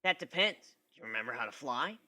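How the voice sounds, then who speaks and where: A man's voice: mid-range voice, animated, quick pace, bright, forceful tone. A man voicing a brash, tough young female griffin with a brusque, scolding manner in an amateur story reading. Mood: gruff, dismissive.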